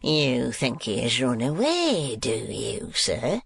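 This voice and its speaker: A man voicing a cruel, blustering middle-aged schoolmaster who sneers and bullies in a slow, menacing way. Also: mid-range voice, huge pitch swings, gravelly, fairly bright tone, breathy edge, heavily acted. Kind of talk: audiobook character voice.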